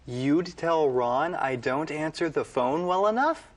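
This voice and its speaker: A man playing a young cafe worker and teasing brother in a scripted sitcom, speaking glibly with clear enunciation. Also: a little high, animated, unhurried. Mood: breezy, teasing. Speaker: actor in an English-teaching sitcom.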